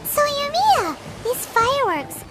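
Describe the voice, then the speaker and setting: An actress voicing a tiny, excitable female fairy companion with a squeaky, childlike voice in an anime game. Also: slow pace, heavily acted. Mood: curious, chatty. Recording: game audio with loud music behind.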